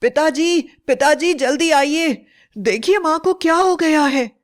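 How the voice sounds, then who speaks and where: A woman's voice: high-pitched, a little slow, pitched above the performer's own voice. A woman acts out a young scientist son from a children's storybook. He is devoted and anxious, and speaks with an earnest, pleading manner. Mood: panicked.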